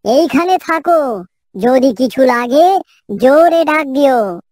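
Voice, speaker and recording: Woman voicing a high-pitched, naive cartoon heron. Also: lively intonation, slow pace, a little mellow, a little hiss or hum. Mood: kindly. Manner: earnest, generous. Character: kind, trusting, naive, slightly proud heron. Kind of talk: children's cartoon voice acting.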